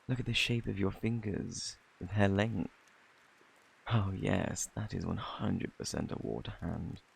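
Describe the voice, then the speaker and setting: A man with a British accent playing a flirty, eccentric fortune teller in a fantasy ASMR roleplay, with a theatrical, boastful, easily flustered manner and a husky, gravelly voice. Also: low-pitched, a little mellow, heavily acted. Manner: theatrical, flustered, coaxing, old-fashioned phrasing. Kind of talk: ASMR roleplay, intimate scripted acting.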